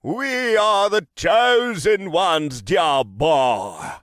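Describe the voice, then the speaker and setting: Hammy, drawn-out British wizard. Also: male voice, sits high, lively intonation, a bit bright, no echo, studio quality, voice pushed up, heavily acted. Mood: maniacal, grandiose. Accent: fake British. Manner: overdramatic, pompous, drawn-out proclamations. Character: hammy, maniacal wizard roleplayer under forty, grandiose and theatrical.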